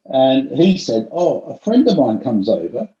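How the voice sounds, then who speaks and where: A vision scientist telling a story in a conversational interview, with frequent ums and restarts. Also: male voice, medium pitch, sing-song, measured pace, mellow, roomy sound, lo-fi sound, faint music behind.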